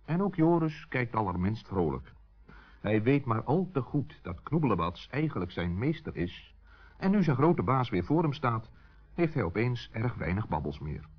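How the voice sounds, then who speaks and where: A man's voice: mid-range voice, big pitch swings, normal speed, slightly rough. A man narrating a scripted children's story as a storyteller. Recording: dull, muffled old recording.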